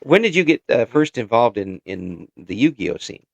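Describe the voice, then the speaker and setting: A man's voice: medium pitch, sing-song, moderate pace, gravelly, no echo, dull audio. A man hosting a casual interview podcast, chatting in an encouraging way.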